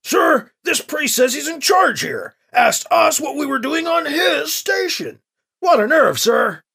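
A man voicing an angry, slow-witted male soldier in an audiobook, loud and demanding. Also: very high-pitched, very animated, gravelly, voice pushed up, full character voice. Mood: indignant. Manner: demanding, shouted. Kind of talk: audiobook character acting.

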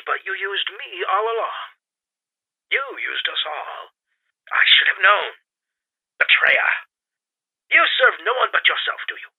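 A man playing a bitter, betrayed captive in an audio drama, speaking accusingly with dramatic pauses through a transmission filter. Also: sits high, husky, exaggerated voice. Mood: wounded accusation. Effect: phone-like transmission filter. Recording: thin, band-limited recorded message sound.

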